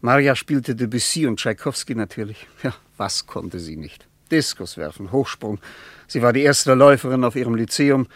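A melancholy former soldier drifts through memories in a halting, wistful way. His voice sits at an ordinary middle pitch and sounds close and dry. It has the feel of a vintage studio radio drama. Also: expressive, no room sound. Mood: fond. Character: melancholic German ex-soldier revisiting wartime memories. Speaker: character in a radio play. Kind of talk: 1950s German radio drama acting.

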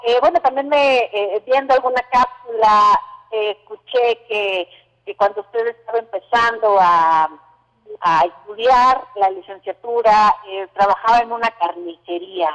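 A woman's voice: medium pitch, unhurried, a bit bright, poor audio. A woman hosting a community radio interview, chatty and full of fillers. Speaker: radio host. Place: radio studio.

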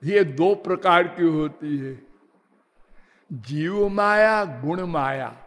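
A man giving a slow, measured spiritual discourse, drawing out his words and half-singing some phrases. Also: a little high, fairly bright tone. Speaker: spiritual teacher. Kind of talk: devotional discourse. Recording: long hall reverb.

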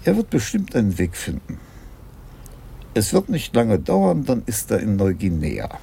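Deep, gravelly senior police commissioner. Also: male voice, wildly swooping pitch, measured pace, warm tone, exaggerated voice. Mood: musing. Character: senior Dutch police commissioner, dry, amused and reflective. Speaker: actor in a radio play. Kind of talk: 1980s German radio crime drama acting.

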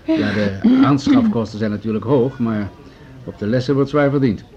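An actor playing a pragmatic, patronizing municipal official in a radio drama, speaking in measured, formal, argumentative sentences. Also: male voice, mid-range voice, huge pitch swings, dull audio, soft background music. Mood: businesslike, grave. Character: pragmatic, patronizing male municipal official pushing budget cuts. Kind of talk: Dutch radio drama acting.

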